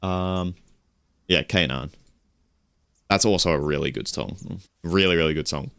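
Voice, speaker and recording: A young man streaming and chatting casually. He rambles in a relaxed way, with little chuckles and self-corrections. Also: unhurried, gravelly, clear, resonant tone. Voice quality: low voice. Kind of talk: casual stream chat while gaming.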